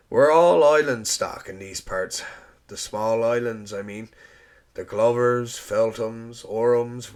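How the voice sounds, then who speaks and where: A man's voice: mid-range voice, natural voice. A man playing a self-assured, courteous storekeeper with an easygoing, folksy way of talking.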